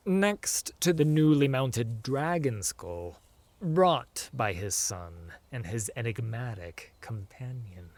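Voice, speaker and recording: A man narrating a fantasy audiobook in a theatrical, measured storytelling style. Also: mid-range voice. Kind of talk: dramatic scripted fantasy narration.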